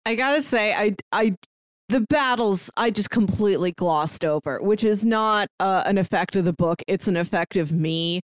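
A woman's voice: medium pitch, normal speed, no room sound. A woman co-hosting a podcast, chatting casually about a book. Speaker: podcast co-host.

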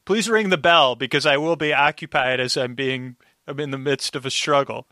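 Bright-voiced, rambling male podcast guest. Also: medium pitch, normal speed, dry recording, clean studio sound. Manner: rambling, thinking aloud. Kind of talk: casual chat.

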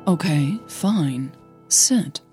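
A woman playing a cool, guarded older female coach, measured and reluctant, in an audio drama. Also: sits low, lively intonation, mellow, soft background music, full character voice. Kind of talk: audio fiction dialogue.

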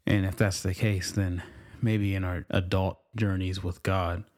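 A man sharing reflective devotional thoughts in a halting, musing way, with frequent restarts and fillers. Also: low voice, level tone, a little mellow.

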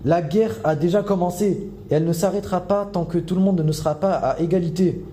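A man plays a furious male intruder, high-pitched and slow, hurling accusations. The voice is dark and mellow and rings with room echo. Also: slight background noise, natural voice. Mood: accusing. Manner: shouting, accusing, slow and heavy.

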